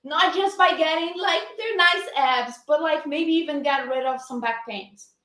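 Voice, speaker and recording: A woman's voice: high voice, unhurried, sharp tone, roomy sound. A woman with a Brazilian accent, an upbeat fitness coach leading a live-streamed class and chatting with her viewers.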